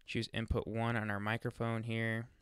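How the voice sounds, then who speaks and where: A man's voice: on the low side, completely flat delivery, moderate pace, a bit bright, smooth, no room sound. Casting: male how-to presenter, clean resonant tone, informal and chatty, dry close-mic sound. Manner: informal, chatty, thinking aloud while demonstrating. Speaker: tutorial presenter. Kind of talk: casual screen-recorded software walkthrough. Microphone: close USB microphone.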